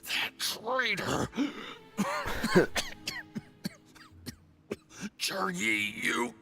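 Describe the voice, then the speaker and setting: An actor playing a proud, defiant, wounded agent in a video game, with a gravelly voice. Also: male voice, high-pitched, big pitch swings, extremely slow, exaggerated voice. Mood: shocked outrage. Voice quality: gravelly, creaky.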